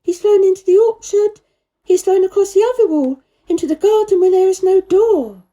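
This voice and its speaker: Storybook child role: curious, bossy young girl, high voice, direct questions adult female reader. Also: moderate pace, mellow. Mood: excited. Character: sour, imperious, curious little girl.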